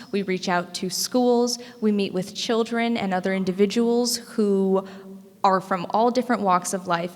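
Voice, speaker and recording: A teenage American woman, a high school student, giving a prepared public speech. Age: teens to young adult, high school student. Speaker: parade court student speaker. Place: indoor school meeting room. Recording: echoey hall with long reverb.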